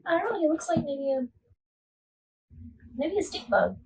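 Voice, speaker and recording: A woman reading a rhyming children's storybook aloud to a child in an expressive, sing-song rhythm. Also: high-pitched, moderate pace, vocal fry, slight background noise. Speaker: storybook reader talking with a child.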